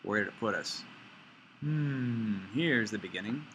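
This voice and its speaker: A man teaching an informal step-by-step software tutorial, playfully talking himself through each action out loud. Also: lowish voice, animated, unhurried, a little hiss or hum, low-quality recording.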